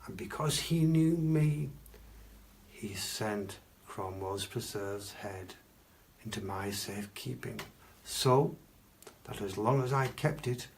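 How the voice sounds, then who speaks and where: A man playing a pompous Victorian gentleman collector, telling macabre tales in a grandiloquent, theatrical way with dramatic pauses. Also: on the low side. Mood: grand, secretive. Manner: grandiloquent, archaic phrasing, dramatic pauses and stresses. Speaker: costumed storyteller. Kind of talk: theatrical live storytelling.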